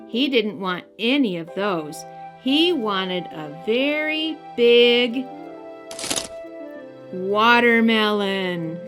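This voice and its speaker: A woman hosting a children's story time, narrating a scripted picture book in a deliberate, expressive style. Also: medium pitch. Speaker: storyteller, host.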